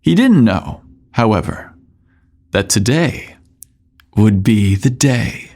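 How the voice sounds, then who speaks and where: A man's voice: lowish voice, expressive, takes it slowly, darkish tone. A man hosting a podcast and narrating a scripted story in a theatrical, suggestive way.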